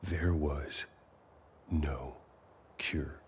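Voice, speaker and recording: With some ambient noise behind him, a middle-aged man in a cinematic game. His voice is very low and gruff. He is a weary, guarded father figure who answers in terse, measured phrases. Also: steady tone, full character voice. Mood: firm, guarded. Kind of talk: naturalistic cinematic game acting.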